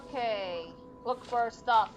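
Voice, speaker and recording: A woman's voice: on the high side, slow pace. A woman live-streaming a game, commenting casually and muttering informal asides as she plays. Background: game music.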